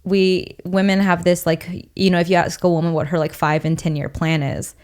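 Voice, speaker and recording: A woman's voice: lowish voice, little pitch movement, normal speed, a little mellow. A young woman co-hosting a podcast, reflecting in casual chat on ideas from her reading. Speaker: podcast co-host.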